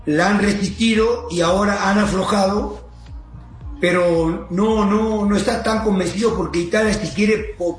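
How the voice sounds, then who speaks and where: A man's voice: sits high, roomy sound, rough recording. A man talking casually as a guest soccer coach, explaining emphatically in a rambling way and checking that he is followed. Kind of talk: casual interview talk.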